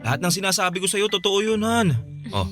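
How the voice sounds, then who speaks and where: A man's voice: high voice, sing-song, brisk, music in the background. A man playing an affectionate young boyfriend in a Filipino radio romance drama, talking tenderly and casually. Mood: doting. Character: affectionate, apologetic young boyfriend who cooks.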